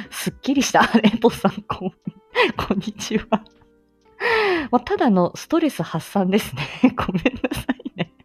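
A woman's voice: mid-range voice, animated, moderate pace, husky, soft background music. A woman hosting a casual personal audio stream, chatting in a giggly, self-deprecating manner. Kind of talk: casual chat.

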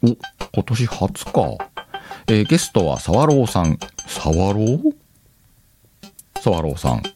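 Male host, very low register with animated intonation, informal self-mocking banter while reading letters over a clear music bed. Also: slow. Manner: laughs often, talks to himself, self-deprecating banter. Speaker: host of an audio show. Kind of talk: casual chatty solo radio talk, reading listener letters.

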